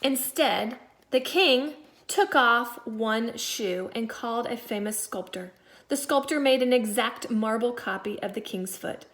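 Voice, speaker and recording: A woman with a fairly high voice reads a children's storybook aloud like a primary school teacher. Her delivery is deliberate and expressive, leaning on key words so young listeners can follow. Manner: deliberate storyteller, stressing words, dropping to quiet asides. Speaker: second-grade teacher.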